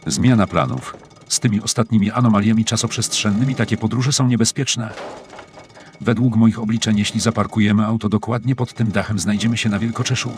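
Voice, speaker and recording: A man voicing a calm, fatalistic, scheming handyman in a comic cartoon, speaking in a matter-of-fact deadpan. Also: on the low side, a little fast, noisy background, close to an everyday voice. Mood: deadpan, urgent planning. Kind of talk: dubbed comic cartoon dialogue in Polish. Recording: music playing behind the voice. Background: music.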